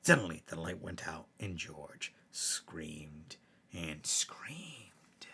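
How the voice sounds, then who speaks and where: A man narrating scary bedtime stories as a host, in a theatrical way with emphatic swells and hushed drops. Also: deep voice, very animated, drawn-out words, slight background noise. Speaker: storyteller, host.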